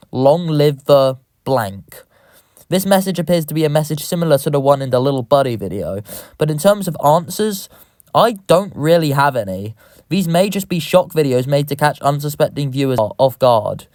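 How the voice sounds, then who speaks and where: A man's voice: a little high, lively intonation, normal speed, darkish tone, no room sound. A man reading scripted narration as the narrator of a horror video, recorded close to the mic.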